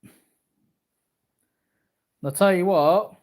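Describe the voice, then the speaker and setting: A man in his early forties with a slightly high voice speaks off the cuff to his viewers. He rambles in a casual, boastful way, circling back and repeating his phrases. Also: drawn-out words, a little mellow. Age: early 40s. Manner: repetitive, boastful. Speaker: martial arts vlogger.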